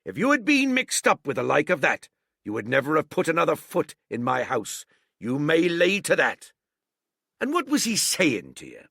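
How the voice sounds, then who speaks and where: A hearty old one-legged cook, as a man puts him on, blustering. His voice swoops wildly and grinds with gravel. The sound is dry and close, with a bright, husky edge. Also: very animated, a little slow, no room sound, full character voice. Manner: blustering, booming sea-dog bluster.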